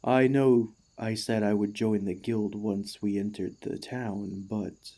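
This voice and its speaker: This is a devoted warforged ex-gladiator played by a man in an ASMR roleplay. The voice is soft-edged and mellow, and the words come slowly and haltingly. The construct speaks with formal courtesy and earnest, easily stirred feeling. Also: lowish voice, fairly even intonation. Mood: moved, hopeful. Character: emotional, excitable warforged ex-gladiator, gentle and devoted.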